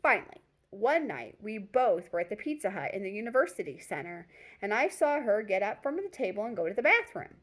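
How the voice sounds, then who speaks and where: A woman reading a first-person story aloud in an expressive, conversational way, occasionally stumbling and rereading words. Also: medium pitch, fairly quick.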